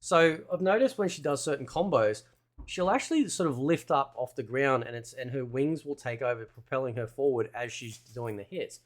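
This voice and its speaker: Male gaming channel host, medium pitch, informal rambling commentary on game footage, thinks out loud. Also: animated, normal speed. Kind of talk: casual game trailer commentary.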